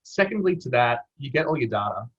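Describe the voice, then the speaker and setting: A man's voice: medium pitch, big pitch swings, a little fast, fairly bright tone, slightly rough. An Australian man presenting an online webinar in a casual, matey way. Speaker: webinar presenter from a campaign platform team. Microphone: video call. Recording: dull lo-fi video call audio.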